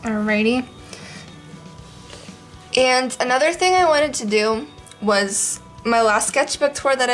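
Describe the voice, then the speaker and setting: A young woman talks loosely from her living room, her voice strained from losing it, with frequent ums and little laughs. Her pitch sits in a middling range, and soft music plays faintly behind her. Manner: rambling, many ums, laughs often. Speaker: art vlogger. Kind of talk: casual chat.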